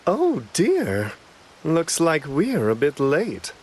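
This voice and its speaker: A serene angel butler, polite n measured, slow but very lilting, anime game. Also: male voice, fairly high pitch, wildly swooping pitch, a little mellow, husky, full character voice. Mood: mild surprise. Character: serene, refined, ageless male angel attendant. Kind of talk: English anime game dub acting.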